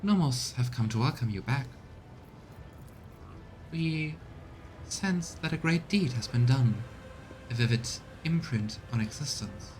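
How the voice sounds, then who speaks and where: A man voicing an eerie machine hive-mind that speaks through servitors, measured, solemn and detached, in a video game. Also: animated, a little mellow, lightly acted. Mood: eerie calm. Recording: low rumbling background noise.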